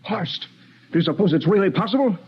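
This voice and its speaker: Commanding, skeptical American space captain, 1950s radio drama, slightly raised pitch, muffled vintage recording. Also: male voice, expressive, brisk, breathy edge, heavily acted. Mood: insistent, bewildered. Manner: authoritative, clipped orders.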